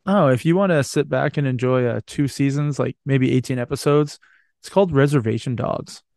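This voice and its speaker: A man's voice: mid-range voice, normal speed, vocal fry, darkish tone, no room sound, clean studio sound. A man hosting a podcast, chatting casually in a joking, rambling way and swearing freely. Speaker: podcast host. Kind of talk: casual, bantering podcast chat.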